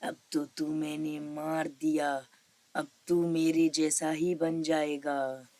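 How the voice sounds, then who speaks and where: A woman voicing a menacing three-headed forest witch ghost in a cartoon story, with threatening, drawn-out taunts. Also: deep voice, level tone, dark tone. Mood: gloating.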